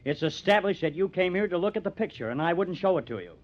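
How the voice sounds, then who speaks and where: A dull, lo-fi radio play recording carries a high-set male voice that swoops dramatically. a cunning, bitter artist, taunting and intense as he gloats over his scheme. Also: animated, fast talker. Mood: smug, scheming. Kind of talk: old-time radio drama acting.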